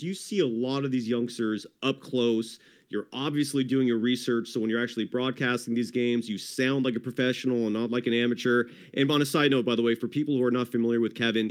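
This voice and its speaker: A man hosting a sports podcast in casual, upbeat chat, hyping his guest and calling him brother. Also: medium pitch. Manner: hyped, chummy, calls guest brother. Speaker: podcast host.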